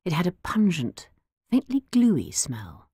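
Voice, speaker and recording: A woman narrating an audiobook in the first person with measured, expressive storytelling. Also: lowish voice, husky, dry recording. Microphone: close studio mic.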